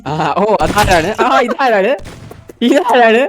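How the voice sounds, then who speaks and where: A man's voice: sits high, slow pace. A man with a Malayali accent chatting casually with other players in a game's voice chat.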